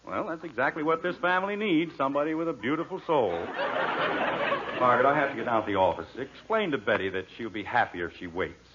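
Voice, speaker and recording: A man's voice: mid-range voice, animated, quick pace, fairly bright tone, highs cut off, a little hiss or hum, natural voice. An actor playing a wry, exasperated middle-aged father, sarcastic and authoritative, in a 1940s radio comedy on a vintage recording. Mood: sarcastic, probing.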